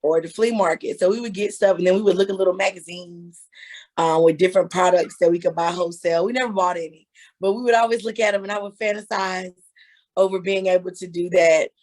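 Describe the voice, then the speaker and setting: A woman's voice: fairly low pitch, fairly even intonation, fast talker, darkish tone. A woman in her thirties, a hairstylist and author, talking candidly as an interview guest. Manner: candid, storytelling, laughing. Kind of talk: casual interview.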